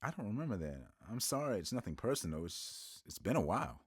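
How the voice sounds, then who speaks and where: A man's voice: on the low side, big pitch swings, brisk, breathy edge, dry recording. A man playing a nervous, nerdy young banker who turns gushing and eager. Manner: flustered, then gushing.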